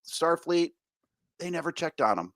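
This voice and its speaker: A man's voice: fairly high pitch, big pitch swings, moderate pace, fairly bright tone, no room sound, clean studio sound. A man co-hosting a podcast in casual conversation, often restarting his phrases. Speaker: podcast co-host.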